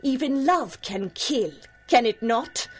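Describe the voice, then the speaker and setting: Measured, enigmatic voodoo priestess. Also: female voice, sits high, expressive, full character voice. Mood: solemn, proud. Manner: measured, formal, knowing. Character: ageless, enigmatic voodoo priestess, proud, worldly and teasing. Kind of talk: 1930s-style radio drama acting.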